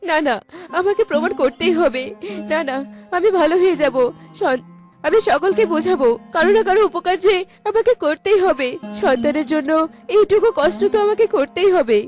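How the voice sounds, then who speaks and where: A woman's voice: extremely high pitch, lively intonation, moderate pace. A woman voicing a desperate, repentant village wife in a melodramatic cartoon, pleading and repeating herself tearfully. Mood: determined. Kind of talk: melodramatic Bengali cartoon voice acting. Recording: loud background music.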